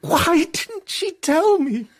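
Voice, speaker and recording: An actor playing a weak, guilt-ridden British husband in a radio drama, husky, strained and defensive. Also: male voice, very high voice, very animated, slow, full character voice. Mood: anguished. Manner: strained, anguished. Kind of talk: emotional radio drama acting.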